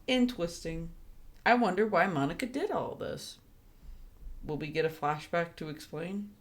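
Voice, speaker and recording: A woman's voice: lowish voice, expressive, moderate pace. A woman streaming a video game, giving casual, playful live commentary in an informal way. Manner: informal, playful, reacting aloud.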